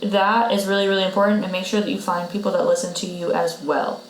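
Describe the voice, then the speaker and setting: A woman's voice: mid-range voice, little pitch movement, fast talker. A woman co-hosting a podcast, giving blunt health advice in a casual, emphatic way and repeating her key points. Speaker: podcast co-host, patient. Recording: roomy echo with faint noise.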